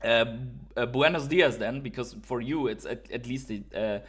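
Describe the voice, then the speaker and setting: A man's voice: medium pitch, moderate pace, fairly bright tone, slightly rough. A man from Germany, a native German speaker with a German accent, hosting a live stream with enthusiastic, informal market commentary and chat. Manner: enthusiastic, informal, reading out and answering viewer messages.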